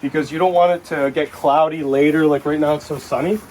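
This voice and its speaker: A man's voice: a little high, fairly even intonation, a little fast, darkish tone, a little hiss or hum. A man working as a videographer outdoors, giving brief, easygoing replies in casual chat. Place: outdoors at a house.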